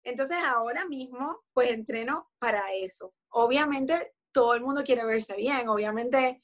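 Lady in her forties, kinda high voice, easygoing, lots of "entonces". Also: measured pace. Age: 40s. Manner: relaxed, explanatory, many fillers and "entonces". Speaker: guest. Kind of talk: casual conversation about fitness.

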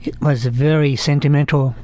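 A man in his eighties with a Japanese accent, recounting as an interview guest, haltingly and repeating words. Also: on the high side, fairly even intonation, a little mellow. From Kyoto, Japan. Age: 80s. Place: studio.

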